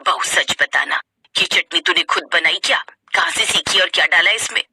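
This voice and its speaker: A woman's voice: lowish voice, normal speed, bright, forceful tone, breathy edge, no echo, pitched below the performer's own voice, heavily acted. A woman voicing a skeptical, exclamatory older mother-in-law in a Hindi cartoon family story, with a grumbling colloquial manner. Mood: curious. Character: stern, skeptical older mother-in-law who softens into pride.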